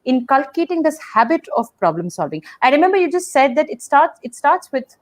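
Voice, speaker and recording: A woman's voice: high voice, very animated, a little fast. A woman who hosts a webinar on drama and talks hesitantly, stopping to search for words as she asks long questions. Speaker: host and drama educator. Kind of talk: webinar conversation.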